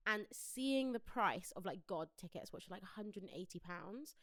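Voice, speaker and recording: A woman's voice: medium pitch, expressive, moderate pace, fairly bright tone, no echo. A British woman co-hosting a podcast in a casual chat about pop culture. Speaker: podcast co-host.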